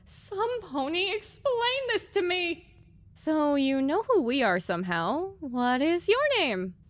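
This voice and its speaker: A woman's voice: high-pitched, slow, exaggerated voice. A woman voicing a young pony heroine who is exasperated, curious and sarcastic, in an audiobook drama. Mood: brisk. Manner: animated, indignant, questioning. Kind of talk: audiobook drama character acting.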